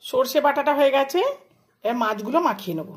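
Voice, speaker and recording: A woman's voice: high voice, fast talker. A woman, a home cook narrating a recipe step by step in plain, short, casual phrases. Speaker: home cook hosting a cooking channel.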